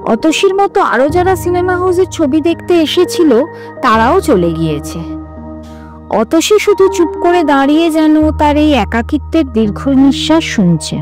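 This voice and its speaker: A woman reading literary fiction as scripted narration, with an expressive, dramatic storytelling delivery. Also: high voice. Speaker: story reader.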